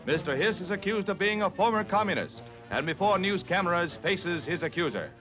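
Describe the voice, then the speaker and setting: A man's voice: sits high, lively intonation, brisk. A man with an American accent narrating a 1940s newsreel in a dramatic, declamatory scripted style. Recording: dull lo-fi 1940s newsreel soundtrack. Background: newsreel music.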